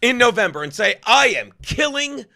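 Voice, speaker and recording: A man's voice: extremely high pitch, moderate pace, vocal fry, dry recording, clean studio sound. A man, a comedian telling stories in a casual podcast chat, laughing often and acting out quotes. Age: 50s. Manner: animated storyteller, laughs often, acts out quotes. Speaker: guest comedian.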